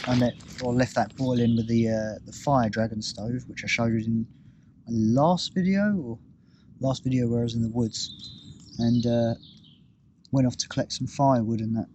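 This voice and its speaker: A British man, slightly hoarse, talking casually to camera outdoors in the woods, rambling and thinking aloud with many ums and restarts. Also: mid-range voice, darkish tone, a little hiss or hum. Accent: British English. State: slightly hoarse, losing his voice. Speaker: outdoors vlogger. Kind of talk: casual vlog talk to camera.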